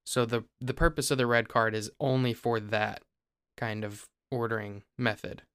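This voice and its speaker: A young man from Ohio talks through a topic in a relaxed, explanatory way, backing up to restart a phrase now and then. His voice is smooth and resonant but stays flat in pitch. The sound is dry and close. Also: normal speed, no echo. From Ohio, USA. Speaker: podcast host. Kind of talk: casual explanatory chat.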